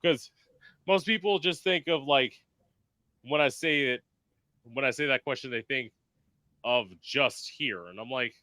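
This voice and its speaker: Bright, chatty male podcast host. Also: medium pitch, dry recording. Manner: chatty, emphatic, full of "like" fillers; performs in drag. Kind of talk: casual podcast chat.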